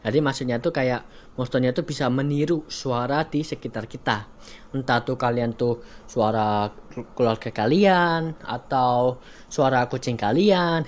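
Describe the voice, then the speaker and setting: A man with an Indonesian accent hosting a video in an informal commentary style. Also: medium pitch. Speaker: host of a horror recommendation channel. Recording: clear background noise and ambience.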